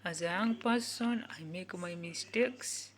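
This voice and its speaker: A woman, giving an earnest motivational talk in which she repeats each phrase several times and sometimes drops to a soft whisper. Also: fairly low pitch, measured pace. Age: 20s. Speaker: youth speaker.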